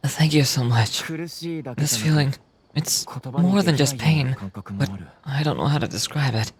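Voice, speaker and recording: Woman voicing a weary low-voiced man with amnesia. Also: a little slow, a little mellow. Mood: weary, grateful. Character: weary amnesiac man, escaped experiment captive, humble and earnest. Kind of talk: video game dialogue read aloud. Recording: game music behind.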